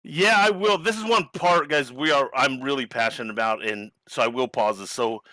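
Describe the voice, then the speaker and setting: A man's voice: mid-range voice, normal speed, sharp tone, dry recording, rough recording. A man from New Zealand with an American accent, a business strategist chatting enthusiastically on a video call panel. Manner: enthusiastic, rambling. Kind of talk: casual panel chat.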